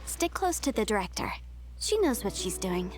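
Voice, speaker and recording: A woman's voice: mid-range voice, big pitch swings, normal speed, natural voice. Woman as a composed, guarded attendant. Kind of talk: English video game voice acting. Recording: game music behind the voice.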